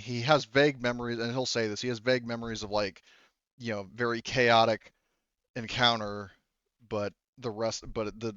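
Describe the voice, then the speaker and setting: A man running a tabletop roleplaying game as game master, narrating off the cuff in a halting, rambling, self-correcting way. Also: medium pitch, a bit bright, clear, resonant tone, dry recording, highs cut off. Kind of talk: improvised game narration, casual chat.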